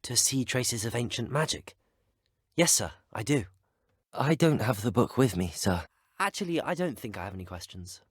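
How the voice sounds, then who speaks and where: A slightly breathy young British male student wizard for a video game. He is earnest, curious and well-spoken, with lively, swooping intonation in a close, dry recording. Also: mid-range voice, moderate pace, no echo. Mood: earnest, courteous. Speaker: player character voice.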